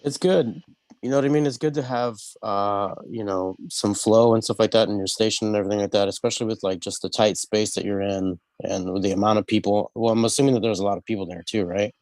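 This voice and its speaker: Congested, laid-back low-voiced man. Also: steady tone, fast talker, darkish tone, no room sound. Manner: laid-back, fills talk with "man" and "stuff like that". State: congested from a cold. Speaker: host. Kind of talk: casual chat.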